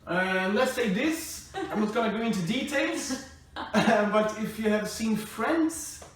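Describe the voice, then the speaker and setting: Young non-native male co-host, relaxed storyteller, hesitant and giggly; roomy distant recording with light hiss. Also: high voice, takes it slowly. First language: not English. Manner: laughs often, hesitant with many fillers. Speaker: groom-to-be co-hosting a couple's video. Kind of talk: casual storytelling to camera. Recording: echoey room from far off, faint hiss.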